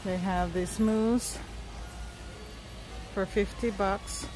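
A woman's voice: mid-range voice, steady tone, drawn-out words, poor audio. A woman casually narrating a shopping trip in short, simple phrases, calling out items and prices. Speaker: shopping vlogger. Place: large indoor warehouse store. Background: music.

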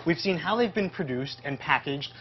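A man's voice: fairly high pitch, expressive, moderate pace. A man in his thirties hosting an educational tour as an upbeat, chatty presenter, recorded in a farm shed. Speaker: host, interviewer. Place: semi-open metal farm shed. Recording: dull audio with clear background noise.

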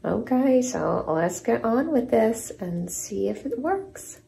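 A woman in her forties chatting casually in an expressive, emphatic way, as a home cleaning vlogger. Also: fairly high pitch, takes it slowly, creaky. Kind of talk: casual vlog chat and narration while cleaning. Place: small tiled bathroom, indoors. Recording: roomy bathroom echo, distant mic.

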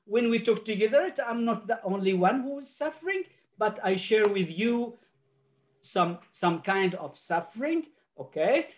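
An older man whose first language is Arabic speaks on a video call from a home office. He talks in a halting, earnest way, full of restarts and fillers, as a panelist giving his remarks. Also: high voice, animated.

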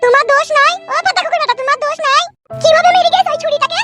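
A voice performer playing a shrill, nagging mother in a cartoon, who scolds her husband without pause. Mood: scolding. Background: background music.

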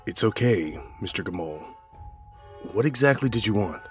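An actor playing a wary, irritable ordinary husband with a guarded, terse delivery in a horror audio drama. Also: male voice, fairly low pitch, expressive, normal speed, faint music behind, natural voice. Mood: reluctant.

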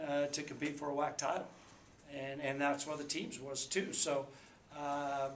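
A man in his forties, a basketball coach, speaking at a postgame press conference in a subdued, halting way with many fillers. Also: a little high, flat delivery. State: subdued after a loss. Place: small press room indoors. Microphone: table mic. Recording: echoey room, faint background hum.

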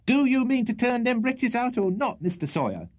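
A man voicing a furious, scolding landlady in a storybook reading, with a shrill scream and contemptuous tirades. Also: huge pitch swings, moderate pace, breathy edge, heavily acted. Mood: supreme contempt.

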